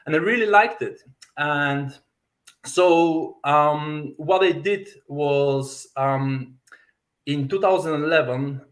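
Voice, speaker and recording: A man in his thirties from Bulgaria, a native Bulgarian speaker and fitness coach, telling his story as a podcast guest, deliberate with many fillers and self-repeats. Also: fairly high pitch. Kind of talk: personal story in podcast interview.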